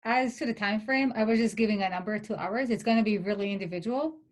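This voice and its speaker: A woman's voice: mid-range voice, fast. A woman whose first language is not English, a graduate instructor giving reassuring, chatty advice over a video call, with lots of "like" fillers. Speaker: graduate student instructor leading a workshop. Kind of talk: casual advice in an online workshop.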